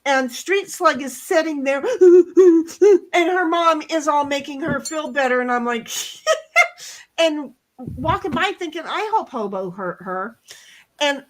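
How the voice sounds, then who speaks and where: A middle-aged woman with a loud voice, telling a story bluntly in a casual chat, swearing now and then. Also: high voice, animated, takes it slowly, low-quality recording. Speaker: co-host.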